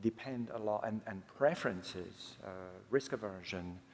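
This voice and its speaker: A man chairing an academic session, giving halting impromptu remarks with many stammers and repeated words. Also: fairly low pitch, darkish tone, slight background noise. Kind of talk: impromptu remarks after a lecture. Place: large hall, public event. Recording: reverberant lecture hall.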